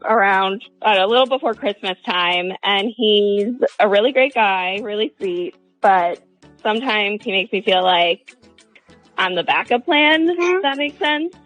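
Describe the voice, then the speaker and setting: A woman's voice: mid-range voice, animated, measured pace, a bit bright, no echo, faint music behind, poor audio. A woman calling a radio show, talking casually and candidly in a hesitant, wordy way. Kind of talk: casual, candid relationship talk.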